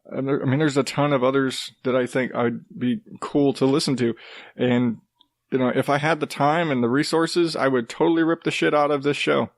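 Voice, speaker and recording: A man hosting his own podcast, rambling casually with restarts and the odd swear, in a rather deep voice while coming down with a cold. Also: fairly even intonation, normal speed, no echo, clean studio sound. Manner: rambling, loose, with swearing and self-interrupting restarts. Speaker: podcast host. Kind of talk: casual solo podcast talk.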